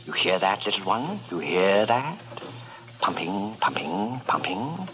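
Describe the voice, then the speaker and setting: An actor playing a sly older serial killer of women, theatrical and repetitive, in a 1940s radio horror drama. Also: male voice, on the low side, big pitch swings, slow, soft background music. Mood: gloating, menacing. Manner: theatrical, gloating, repeats himself, murmuring asides. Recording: noisy, dull vintage radio recording.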